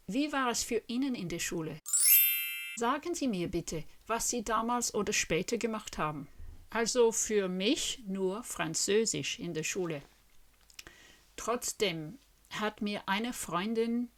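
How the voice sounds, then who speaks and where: A woman in her fifties from Western Australia, a native English speaker with an Australian accent, talking to camera in the language she is learning, carefully and earnestly, and asking her viewers questions. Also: mid-range voice.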